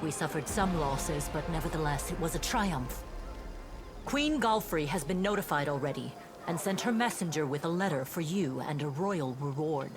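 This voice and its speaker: An actress playing an earnest, dutiful female paladin officer in a fantasy game, speaking in a formal, measured reporting manner. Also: low-pitched, lively intonation. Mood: formal, composed. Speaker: game character reporting to a commander. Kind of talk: voice-acted fantasy game dialogue. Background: music.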